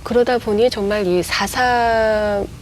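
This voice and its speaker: A woman hosting a broadcast talk show, asking her guests questions in a measured, polished way. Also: a little high, fairly even intonation, slight background noise. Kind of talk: broadcast studio interview. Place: TV studio.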